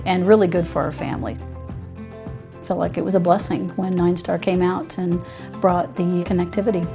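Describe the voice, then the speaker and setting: A woman's voice: on the low side, steady tone, moderate pace, mellow. A middle-aged woman giving a conversational promotional testimonial as a satisfied customer. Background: music under the voice.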